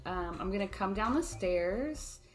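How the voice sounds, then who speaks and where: A woman's voice: medium pitch, expressive, measured pace, soft background music. A woman playing a tabletop game, speaking in a hesitant, thoughtful way. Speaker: player. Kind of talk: casual tabletop play.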